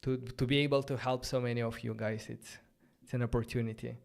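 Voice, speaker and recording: Smooth-voiced man with a Romanian accent. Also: medium pitch, level tone, brisk, a little mellow. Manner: thinks aloud, loose and chatty, many "like" fillers. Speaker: host of a coding livestream. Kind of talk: casual live-coding stream. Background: faint background music.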